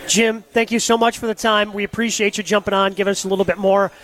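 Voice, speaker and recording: A man co-hosting a live sports radio show from a golf tournament, chatting with quick enthusiasm. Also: high-pitched, little pitch movement, fairly bright tone.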